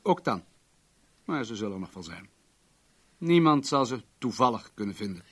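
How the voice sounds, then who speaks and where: A man's voice: medium pitch, big pitch swings, moderate pace, fairly bright tone, husky. Radio play role: wealthy businessman, guarded, commanding, formal delivery, mid-range voice. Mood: confident. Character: wealthy, controlling businessman, guarded and self-assured.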